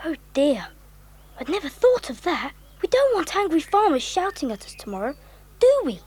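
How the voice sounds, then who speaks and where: An actress playing a sensible, earnest schoolboy who leads young adventurers in an audio drama. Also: female voice, sits high, very animated, moderate pace, darkish tone. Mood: concerned, resolute. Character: sensible, optimistic boy leader of child adventurers.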